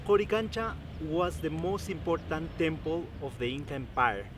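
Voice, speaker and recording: A man from Peru with a Peruvian accent walks visitors through an ancient site and explains each part carefully. His voice sits a little high. Street and traffic noise runs steadily behind him. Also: expressive, normal speed. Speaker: tour guide. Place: outdoors at a historic site.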